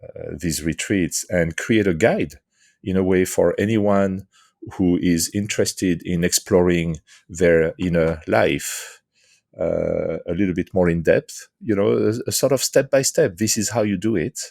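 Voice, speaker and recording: Man, French accent, very low voice, gravelly, hesitant, dry close sound. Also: a little slow, darkish tone, dry recording. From France. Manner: hesitant, many fillers and restarts. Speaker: guest, author and leadership coach. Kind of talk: thoughtful interview answers.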